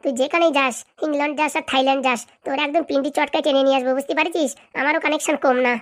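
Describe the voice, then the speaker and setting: A woman's voice: sits high, steady tone, racing, natural voice. A woman voicing a scheming, threatening village boss woman in a comedy cartoon, with a scolding manner. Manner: bossy, menacing, scolding.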